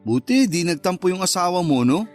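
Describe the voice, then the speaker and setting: An actor playing a young, smooth-talking male lover in a radio drama, teasing and casual. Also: sits high, expressive, brisk, soft background music. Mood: casual, playful. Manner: teasing, casual sweet talk. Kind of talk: Tagalog radio drama acting.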